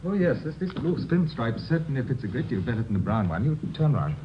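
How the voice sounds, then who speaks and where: A man's voice: mid-range voice, sing-song, fairly quick, lightly acted. On a muffled, noisy old radio recording, a man plays a fussy tailor whose voice swoops up and down. He fawns and stammers effusively over his customer. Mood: fussy, eager. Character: fussy, fawning male tailor at exclusive shop. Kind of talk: 1950s radio acting.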